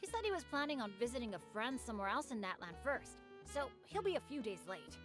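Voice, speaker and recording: A woman's voice: fairly high pitch, sing-song, quick pace, music in the background. A woman voicing an earnest, good-natured teenage boy adventurer in a video game, youthful and boyish.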